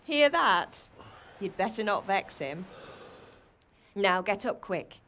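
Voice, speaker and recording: A woman's voice: mid-range voice, big pitch swings, slow, a bit bright, a little hiss or hum. An actress playing a fussing, scolding mother who rouses her grown son, in a game cutscene. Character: scolding, caring peasant mother. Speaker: mother and blacksmith's wife. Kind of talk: video game cutscene acting.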